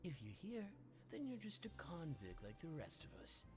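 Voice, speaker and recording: A man's voice: fairly high pitch, huge pitch swings, normal speed. An actor voicing a cynical, bitter male convict in a video game, with a scornful, sneering manner.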